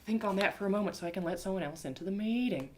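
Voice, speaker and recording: A woman reading a fantasy story aloud in an expressive, first-person narrating style. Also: medium pitch, very fast, slight background noise.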